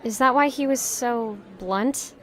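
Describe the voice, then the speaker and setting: A woman's voice: a little high, slow pace, a bit bright. An actress playing a naive, polite young woman in an audio drama, hesitant and eager to ask questions. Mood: curious, uncertain. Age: young adult.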